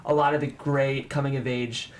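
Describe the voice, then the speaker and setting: A man's voice: medium pitch, level tone, normal speed, slight background noise. A man hosting and narrating a screenplay table read, presenting the script to an audience. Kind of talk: show presenting and scripted stage-direction narration.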